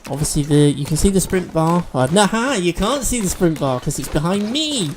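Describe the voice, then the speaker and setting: A man's voice: high voice, very animated, normal speed, slight background noise. A man hosting a let's-play video, chatting and explaining game mechanics as he plays, a bit sniffly from allergies. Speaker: gaming video host.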